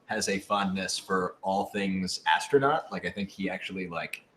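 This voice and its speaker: A man's voice: low voice, moderate pace, fairly bright tone. A young man hosting a casual group question session, chatting in a jokey way and prone to laughing. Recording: roomy, echoey room with clear noise.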